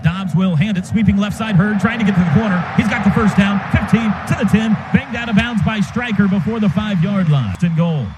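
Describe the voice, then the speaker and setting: A man calling a football game play by play for radio, in quick clipped bursts that jump up on big plays. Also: sits high. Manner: rapid, clipped calls that rise sharply on big plays. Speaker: play-by-play announcer. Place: stadium radio booth. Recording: noisy stadium radio broadcast.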